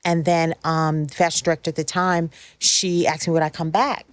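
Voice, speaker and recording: Woman, middle-aged podcast guest, lower register, emphatic storyteller, dry close-mic recording. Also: level tone, moderate pace, no echo. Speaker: podcast guest, fashion stylist. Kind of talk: casual podcast conversation, career stories.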